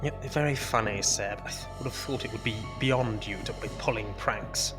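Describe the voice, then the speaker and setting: A man's voice: lowish voice, normal speed, lightly acted. A man playing a formal, commanding Victorian doctor in a period audio drama. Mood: irritated. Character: authoritative Victorian doctor, stern then aghast. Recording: loud music behind.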